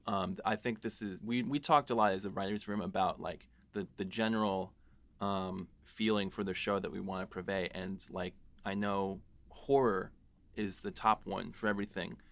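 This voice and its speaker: A man with a fairly low voice and a bright, forceful tone chats eagerly about the show. He swears casually and steers the talk with questions. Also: fairly even intonation, normal speed. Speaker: writer and podcast co-host. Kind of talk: casual behind-the-scenes chat.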